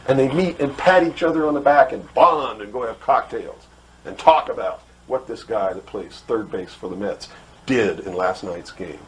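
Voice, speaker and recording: A middle-aged American man giving an impassioned lecture, emphatic and full of rhetorical questions and deliberate repetition. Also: mid-range voice. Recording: noisy old talk recording.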